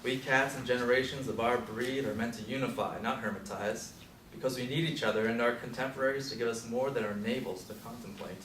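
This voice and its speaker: Wry old male Beat hipster man acting, mid-pitched, opinionated, declaiming on stage in an echoey room. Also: steady tone, a little fast, fairly bright tone, lightly acted. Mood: earnest. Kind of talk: stage play scene reading. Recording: echoey room from far off, faint noise.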